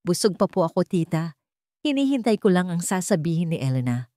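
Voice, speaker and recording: A woman voicing a wronged wife in an audiobook drama, cold, controlled and quietly vengeful. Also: big pitch swings, fairly quick, dry recording, studio quality. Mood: guarded, testing. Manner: low-voiced, controlled, cold.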